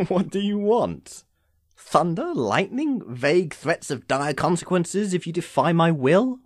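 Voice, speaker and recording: Wry, animated immortal sorcerer played by a man. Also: fairly high pitch, unhurried, dry recording, studio quality. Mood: sardonic. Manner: wry, formal, theatrical phrasing. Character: immortal male sorcerer, urbane, dry, impatient, sardonic. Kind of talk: audio drama acting.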